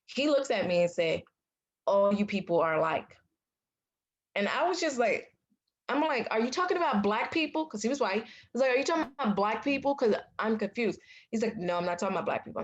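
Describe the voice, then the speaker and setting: A young woman from the United States, a patient advocate, telling her story in a casual interview, outspoken and animated. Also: mid-range voice, fairly quick, muffled recording. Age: young adult. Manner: animated, acts out quoted dialogue; loud, outspoken.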